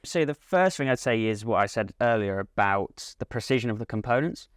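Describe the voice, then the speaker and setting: A man speaking as a company representative in an interview, giving measured, unscripted technical explanations of a product. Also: fairly low pitch, expressive, clear, resonant tone, dry recording, clean studio sound. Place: at a hi-fi trade show.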